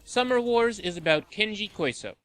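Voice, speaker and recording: A man's voice: high voice, expressive, normal speed, a bit bright. A man reading a scripted review narration as the host of a countdown list video.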